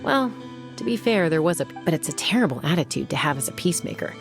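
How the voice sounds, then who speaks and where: Frank, steady young warrior woman for a game: low register, lively inflection, wry, faint music. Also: fast talker. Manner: matter-of-fact, wry. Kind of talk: video game voice acting.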